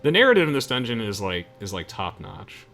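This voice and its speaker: A man's voice: lowish voice, very animated, brisk, gravelly, pushed and bright, soft background music. A young man giving casual video game commentary to friends as the host of a playthrough. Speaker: let's play host. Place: game voice chat with music behind.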